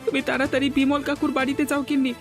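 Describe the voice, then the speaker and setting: A woman playing an excitable young village husband in a cartoon folk tale. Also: high-pitched, very fast. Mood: alarmed. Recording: faint music behind the voice.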